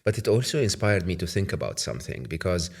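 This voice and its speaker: A man's voice: low voice, sing-song, fast talker. A man in his fifties from Egypt, hosting a podcast, who speaks thoughtfully with frequent hesitant restarts. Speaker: podcast host. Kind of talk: conversational interview. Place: bright room with plants. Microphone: podcast mic on an arm.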